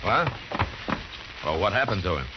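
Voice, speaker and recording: Deep-voiced, stern frontier marshal. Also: male voice, very animated, very noisy. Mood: terse, grave. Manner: terse, grave, measured. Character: stern, steady frontier marshal narrating his case. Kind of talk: old-time radio western acting and narration. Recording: dull old radio recording, loud music.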